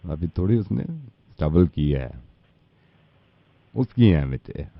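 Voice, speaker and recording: A man playing a solemn middle-aged father on a prank call, slow, apologetic and paternal, with a deadpan delivery. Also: extremely low pitch, gravelly, no room sound, muffled recording, pitched below the performer's own voice, heavily acted.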